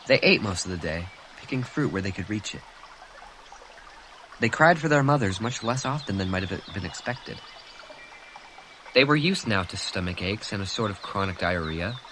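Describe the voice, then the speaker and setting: A man reading a graphic novel aloud in a soft, measured way. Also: on the low side, expressive, slight background noise. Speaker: reader.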